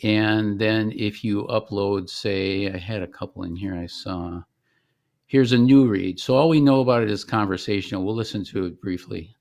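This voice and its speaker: Creaky, low-voiced man demonstrating software. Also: moderate pace. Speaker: software founder giving a product demonstration. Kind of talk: informal screen-share demonstration.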